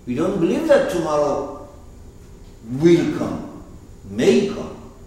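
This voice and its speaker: A man's voice: on the high side, huge pitch swings, slow pace, warm tone. Man, Arabic accent, teacher, rambling, very echoey, distant mic. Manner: digressive, asks the room questions. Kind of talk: explaining terms to a class. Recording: roomy, far from the mic.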